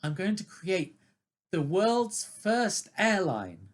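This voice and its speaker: A woman's voice: medium pitch, slow. A woman with a British accent playing an eager, apologetic, ambitious young man in a comic radio play. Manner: excitable, earnest, apologetic.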